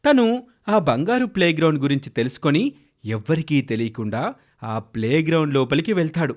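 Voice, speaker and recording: A man's voice: fairly high pitch, expressive, brisk. A man narrating a scripted moral story for children as a storyteller.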